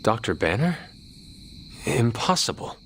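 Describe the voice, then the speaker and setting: A man playing a wary ancient warrior in a video game, speaking formally and in a measured, stilted way. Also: medium pitch, very animated, creaky, slight background noise. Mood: puzzled, reserved. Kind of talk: cinematic video game dialogue.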